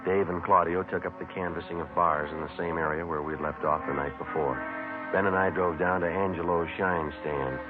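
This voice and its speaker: An actor playing a terse, matter-of-fact police sergeant who narrates and questions in an old radio crime drama. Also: male voice, low voice, fairly even intonation, fairly quick, music in the background, lightly acted. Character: terse, businesslike male police sergeant narrating his case. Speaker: lead detective and narrator. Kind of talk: clipped procedural radio drama narration and dialogue. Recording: tinny vintage radio recording.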